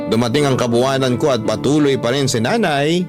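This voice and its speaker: A man's voice: medium pitch, brisk. A man with a Filipino accent reading a first-person story as a radio storyteller. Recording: music under the voice. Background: background music.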